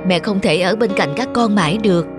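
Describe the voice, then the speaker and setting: A woman plays a caring mother chameleon in a children's cartoon. She speaks, gently and patiently instructing her little ones. Music plays clearly behind the voice. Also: medium pitch, brisk, natural voice. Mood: gentle, instructive.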